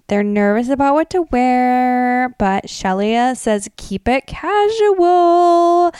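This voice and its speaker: Female podcast co-host, American, smooth and clear, informal slangy storytelling, dry recording. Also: fairly high pitch, a little mellow, dry recording. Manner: informal, peppers talk with "like" and emphatic slang. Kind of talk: casual chatty retelling and commentary.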